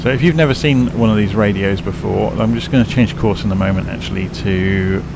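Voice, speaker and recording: Tired, croaky-voiced man with gravelly tone. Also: on the low side, a little fast, background noise. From England. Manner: rambling, explanatory, self-correcting. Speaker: flight sim streamer. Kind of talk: casual live-stream commentary.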